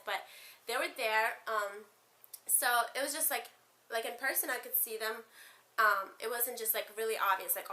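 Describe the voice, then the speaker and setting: A woman's voice: mid-range voice, sharp tone. A young woman from Ukraine with a Ukrainian accent, first language Spanish, chatting casually to camera as a beauty vlogger, rambling with lots of "like" and "um".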